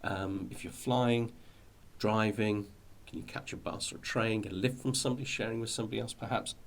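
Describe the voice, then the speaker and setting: Low-pitched British man, informal and hesitant. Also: steady tone, fairly quick. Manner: hesitant, rambling, with repeated words. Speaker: hobby video host. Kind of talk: informal explanatory talk to viewers.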